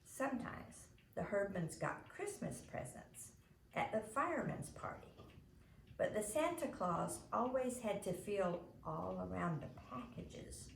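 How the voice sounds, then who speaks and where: A woman's voice: lowish voice, vocal fry. A woman reading a children's storybook aloud as a storyteller. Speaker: storybook reader. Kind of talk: animated read-aloud storytelling. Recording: roomy echo, faint background noise.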